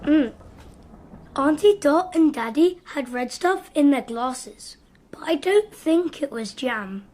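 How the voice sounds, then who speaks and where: High-voiced child. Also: female voice, lively intonation, slow pace, darkish tone. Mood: innocent, artless. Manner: simple, childlike. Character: small, innocent child recalling things artlessly. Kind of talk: radio drama acting.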